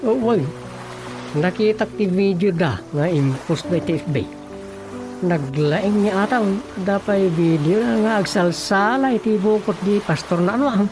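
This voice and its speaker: Actress as chatty village gossip over music. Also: female voice, mid-range voice, measured pace, close to an everyday voice. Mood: gossipy. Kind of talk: comedic radio drama acting. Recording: music bed behind voices.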